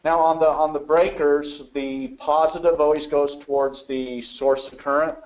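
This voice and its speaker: Guy calling into a webinar, dull muffled audio, kinda halting, asking questions. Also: fairly high pitch, fairly even intonation, a little mellow. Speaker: webinar attendee, customer. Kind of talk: casual questions and answers.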